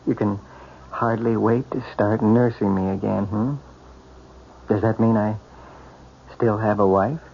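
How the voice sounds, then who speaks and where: A bitter invalid husband, jealous and self-pitying, speaking haltingly in a fairly low voice. It is 1970s radio drama, muffled, with some background noise. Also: male voice, fairly even intonation, gravelly. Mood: accusing, self-pitying. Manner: halting, sardonic. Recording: dull, noisy radio drama recording.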